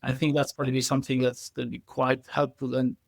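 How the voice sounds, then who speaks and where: A man with a medium-pitched voice gives conversational interview answers as an expert guest. He speaks haltingly, doubling back on words as he explains. The sound is dry, with little room on it. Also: flat delivery, creaky, dry recording. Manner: halting, repeats words, frequent hedging filler phrases. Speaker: podcast guest, engineering expert.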